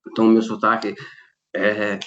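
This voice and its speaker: A middle-aged Brazilian man talking in an interview, animated and quick to laugh, often restarting his sentences. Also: lowish voice, muffled recording. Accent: Brazilian Portuguese. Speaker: interview guest, lighting designer. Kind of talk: relaxed conversational interview.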